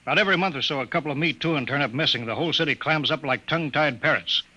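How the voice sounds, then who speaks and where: An actor playing a blustering, impatient colonial bureau chief who barks brusque orders, in old-time radio drama style. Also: male voice, fairly high pitch, very fast, pushed and bright, husky, exaggerated voice. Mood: indignant. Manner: brusque, bossy, clipped orders.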